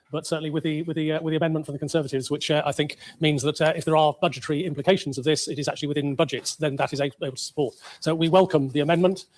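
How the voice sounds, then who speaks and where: A man with a slightly high voice speaks formally in a council debate. His words come in quick bursts, broken by many hesitations and repeats. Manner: halting, full of hesitations and repeats. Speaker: county councillor.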